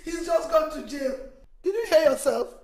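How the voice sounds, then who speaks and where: Casting: anguished Nigerian mother, very high voice, wailing and repetitive, film drama, echoey room. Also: animated, moderate pace, a little mellow. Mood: angry, accusing. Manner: wailing, repeats herself insistently. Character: distraught, accusing mother of a jailed adult son. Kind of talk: emotional film drama acting.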